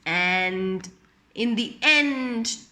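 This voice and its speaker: High-voiced woman reading to young children. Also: animated, very slow, sharp tone. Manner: teacherly, repeats phrases and questions. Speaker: children's storyteller. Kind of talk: read-aloud story with simple questions to young children.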